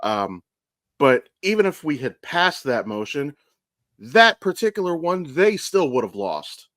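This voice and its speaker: An American man co-hosting a podcast, chatting casually and telling stories in a blunt, animated way with plenty of swearing. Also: a little high, unhurried, no echo, clean studio sound. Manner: blunt, profane, animated storytelling. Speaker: podcast co-host. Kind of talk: casual chat.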